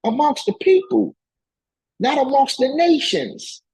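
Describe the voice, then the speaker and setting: A man's voice: very high voice, very animated, unhurried, gravelly, a little mellow. A man giving an impassioned political lecture, reading and commenting in an emphatic, colloquial way and repeating phrases to drive them home.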